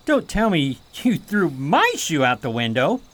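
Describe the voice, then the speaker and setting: A woman's voice: low-pitched, measured pace, full character voice. A woman playing an earnest, anxious and awkward young prince in a radio play. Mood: frantic, insistent. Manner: earnest, excitable, hesitant when shy. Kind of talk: radio theater acting.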